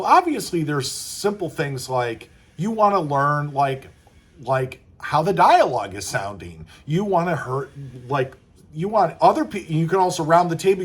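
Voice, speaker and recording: Higher-pitched man giving casual advice. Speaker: screenwriting coach. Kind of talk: casual advice talk to camera.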